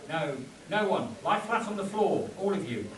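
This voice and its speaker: Man playing halting, earnest pulp hero, echoey. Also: sits high, lively intonation, recorded from a distance. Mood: urgent, commanding. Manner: halting, stumbling over the script. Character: Doc, earnest commanding male pulp adventure hero. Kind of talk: spoof radio play, read from script. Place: convention stage before an audience. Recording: echoey convention room from afar, audience noise.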